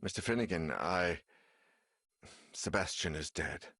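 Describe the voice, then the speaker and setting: A man playing a haunted, weary game character full of dread, grim and halting in his delivery, with dramatic acting. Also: low voice, no room sound, clean studio sound, heavily acted. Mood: drained, subdued. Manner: grim, halting, swearing under stress. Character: haunted, weary man searching an evil house, dread-filled. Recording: loud music behind the voice.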